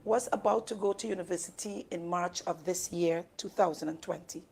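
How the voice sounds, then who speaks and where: A woman interpreting a guest's answers into English, in a measured and halting way. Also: fairly low pitch, creaky, a little mellow, slightly rough. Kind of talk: live interpretation.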